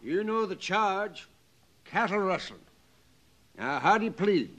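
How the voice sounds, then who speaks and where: An actor playing a wavering frontier judge in an old-time radio western, fussy, folksy and hesitant. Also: male voice, high voice, lively intonation, a little slow, a bit bright. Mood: official. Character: wavering frontier courtroom judge, easily pressured.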